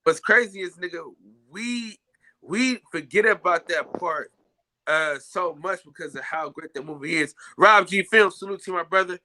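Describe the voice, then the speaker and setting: A young man hosting a casual live-stream chat, loudly shouting out viewers by name. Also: high voice, normal speed, slight background noise, low-quality recording. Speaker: podcast host.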